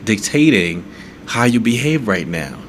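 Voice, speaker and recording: A man from Haiti with a lowish voice, talking earnestly as a podcast guest. He keeps checking in with a "right?" and restarts his sentences as he goes. A faint noisy hum sits behind him. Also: sing-song, unhurried, fairly bright tone. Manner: earnest, repeats "right?" often, stumbles and restarts. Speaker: guest author. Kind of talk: conversational podcast interview.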